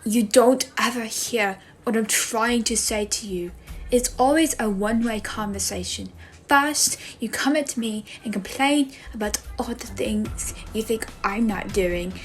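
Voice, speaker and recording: A woman's voice: on the high side, close to an everyday voice. A woman playing a frustrated, hurt daughter confronting her mother in a dramatic monologue, pleading and emphatic. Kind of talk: dramatic monologue for a voice acting demo reel.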